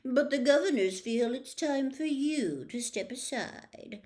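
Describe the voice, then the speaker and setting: A woman voicing a haughty, smooth aristocratic villain from a storybook, with a lazy, mock-polite drawl. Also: high-pitched, animated, measured pace, darkish tone, pitched above the performer's own voice. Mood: smooth, condescending. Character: haughty, smooth, sneering aristocratic villain man, lazily menacing. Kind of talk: storybook character reading.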